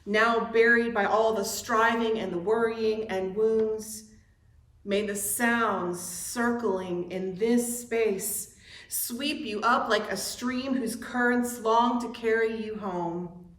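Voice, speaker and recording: A woman leading an online worship service, reading a welcome and an opening invocation in a gentle, deliberate liturgical style. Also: a little high, steady tone, roomy sound. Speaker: director of religious exploration leading worship. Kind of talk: slow, measured liturgical reading. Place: church sanctuary.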